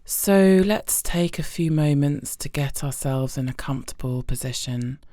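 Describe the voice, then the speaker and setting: A woman leading a guided body scan meditation in a soothing, gentle and unhurried manner, leaving pauses between phrases. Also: sits low, no echo, clean studio sound. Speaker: guided relaxation instructor.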